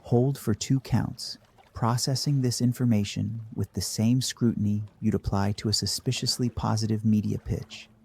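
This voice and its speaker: A man narrating a scripted guided meditation in a measured, dry deadpan manner. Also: on the low side, vocal fry, slight background noise.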